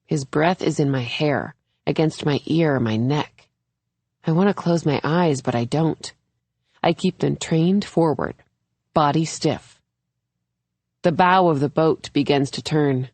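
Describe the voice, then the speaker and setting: A woman's voice: deep voice, takes it slowly, long pauses, a little mellow, no room sound. A woman reading a first-person thriller audiobook as its narrator, in a scripted storytelling style.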